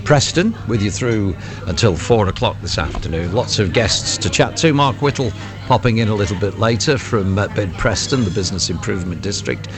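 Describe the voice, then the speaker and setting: A man presenting a live radio show, with hesitant phrasing and drawn-out words. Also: on the low side, expressive, music in the background.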